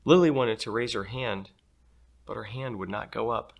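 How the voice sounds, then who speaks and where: A man reading a children's story aloud in an expressive, playful way. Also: on the low side, normal speed, creaky. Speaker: storybook reader.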